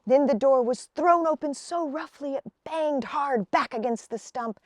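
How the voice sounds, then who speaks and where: A woman's voice: high-pitched, unhurried, a little mellow, dry recording, studio quality. A woman narrating a children's picture book expressively, as a storybook reader.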